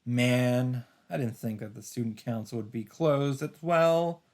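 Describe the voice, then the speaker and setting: A man's voice: medium pitch, fairly even intonation, unhurried, darkish tone. A man hosting a let's play, reading visual novel narration aloud and adding dramatic asides as he reacts.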